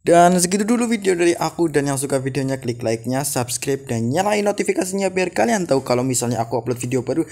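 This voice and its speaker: A young-sounding, high-voiced man hosts an informal vlog. He reads written accounts aloud, then rephrases them and reacts in everyday conversational language. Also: animated, fast talker, hardly any pauses, a little mellow. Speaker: video creator, host. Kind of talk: casual vlog, reading stories aloud with commentary.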